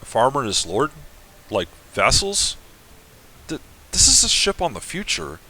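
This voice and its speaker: A man's voice: medium pitch, huge pitch swings, unhurried, pushed and bright, slightly rough, poor audio. A young man reading through a game aloud, musing and thinking aloud between passages. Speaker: audiobook reader.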